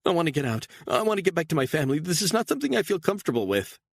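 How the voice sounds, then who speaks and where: Guy doing a scared crying actress lady, high and frantic, swings all over. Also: sing-song, racing, no room sound, clean studio sound. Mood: pleading, panicked. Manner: high, frantic, tearful pleading. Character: terrified young woman actress, pleading.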